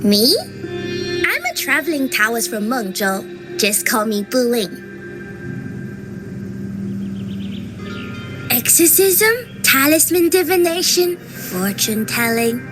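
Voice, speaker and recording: A woman's voice: sits high, animated, takes it slowly, over music. A woman playing a playful young Taoist fortune teller in a video game, with theatrical, teasing sales patter.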